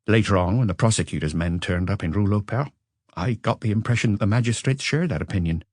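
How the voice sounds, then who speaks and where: A man's voice: lowish voice, quick pace, darkish tone, no echo, studio quality, natural voice. A man voicing a weary businessman under police questioning, earnest, wordy and self-justifying, in an audiobook. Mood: defensive.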